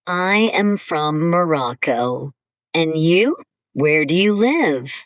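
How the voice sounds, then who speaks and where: A woman co-hosting an English-learning podcast, speaking slowly and carefully in simple scripted sentences. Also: low voice, lively intonation, no echo. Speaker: podcast co-host.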